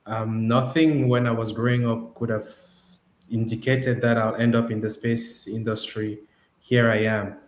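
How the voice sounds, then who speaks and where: A man's voice: lowish voice, little pitch movement, unhurried. Encouraging man in an echoey room. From Cameroon. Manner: reflective, encouraging, some repeated words. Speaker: host. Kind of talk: online panel conversation. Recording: echoey room with faint noise.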